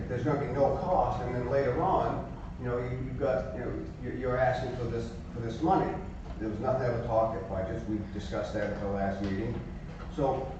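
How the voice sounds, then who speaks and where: A man's voice: mid-range voice, noisy background. A man speaking as a resident at a town meeting, insistent, stumbling and repeating himself. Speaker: resident speaking at public comment. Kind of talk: public comment at town meeting. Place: town office meeting room. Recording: echoey hall, distant mic, dull.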